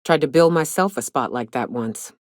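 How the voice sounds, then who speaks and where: A woman's voice: a bass voice, moderate pace, dry recording, clean studio sound. A woman playing a brash, sardonic gunslinger from a space-colony crew in a video game, with a wry, drawling, folksy, sweary delivery. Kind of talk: video game voice acting, short companion lines.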